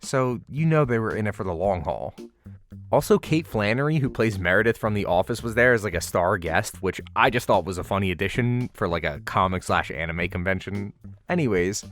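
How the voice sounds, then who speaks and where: A man's voice: fairly low pitch, fairly bright tone. A man narrating a convention vlog in a casual, slangy voice-over with wry, playfully exaggerated commentary. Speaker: vlogger narrating a convention walkthrough.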